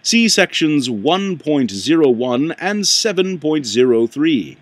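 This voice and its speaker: A man with a slightly high, very animated voice reads a book aloud. He goes haltingly, stumbling and correcting himself, and puts on an accent. The sound is dry and close. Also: no room sound. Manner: halting, stumbles and rereads lines; puts on an accent while reading. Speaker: amateur reader.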